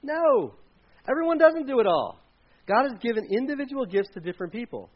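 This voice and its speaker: A man's voice: very high voice, very animated, brisk, lo-fi sound. A man preaching a sermon with slides, talking casually, starting sentences over, and acting out the people in the scripture he retells. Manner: conversational, retells scripture with acted quotes and restarts. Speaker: pastor.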